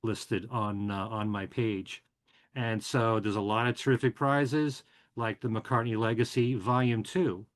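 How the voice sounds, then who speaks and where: A man's voice: fairly low pitch, a little slow. Chatty man hosting a podcast. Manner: chatty, relaxed, with frequent "uh" fillers. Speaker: podcast and radio host. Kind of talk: casual show announcements.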